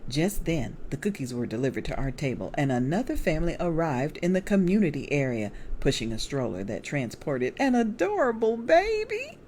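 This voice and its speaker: A middle-aged American woman reading her memoir aloud as author and evangelist, with deliberate, expressive storytelling. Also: low voice, a little hiss or hum.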